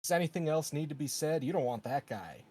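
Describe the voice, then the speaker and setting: A man's voice: a little high, lively intonation, fast talker, darkish tone. A man playing a tabletop roleplaying game, speaking in character in a blunt, colloquial, casual way.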